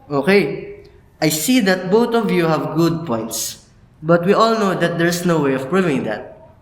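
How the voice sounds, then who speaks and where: A man's voice: on the high side, expressive, takes it slowly. A man voicing a smug, taunting young law rival who mocks his classmates. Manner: mocking, teasing. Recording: echoey room with long reverb.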